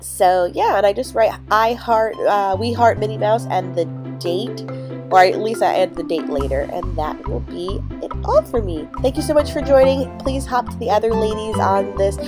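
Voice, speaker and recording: A woman's voice: mid-range voice, normal speed, over music. A woman with a cold and a stuffy nose, chatting casually over her craft video with many ums and restarts. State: congested from a cold. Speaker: crafting vlogger.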